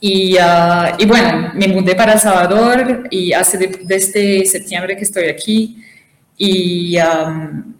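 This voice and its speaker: A woman whose first language is not Spanish answers interview questions in a halting way, with many fillers and restarts. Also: lowish voice. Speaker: interview guest, conference organizer. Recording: echoey room.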